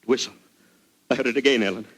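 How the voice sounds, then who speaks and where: An actor playing an agitated older British army colonel, excitable and hesitant, in 1940s radio drama style. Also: male voice, mid-range voice, fast talker, slight background noise, natural voice. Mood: agitated, fearful. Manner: excitable, hesitant, then vehement. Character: agitated older English colonel haunted by imagined sounds. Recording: old 1940s radio recording.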